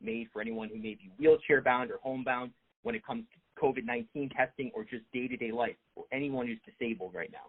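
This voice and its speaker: A man's voice: medium pitch, lively intonation, fast, gravelly. A man working as a reporter, asking a question on a press conference call over the phone. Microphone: phone line. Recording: thin, lo-fi phone conference line.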